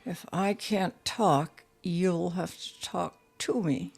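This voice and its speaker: Unhurried, coaxing woman, lowish voice. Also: gravelly, a little mellow, husky, soft background music, heavily acted. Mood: curious, probing. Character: curious, insistent female portrait sitter with a big house. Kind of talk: audio drama dialogue.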